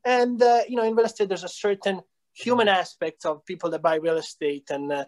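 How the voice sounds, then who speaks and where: A man in his thirties with an Italian accent, talking hesitantly with many fillers in a video call interview. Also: on the high side. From Italy. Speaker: real estate company founder. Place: small room indoors.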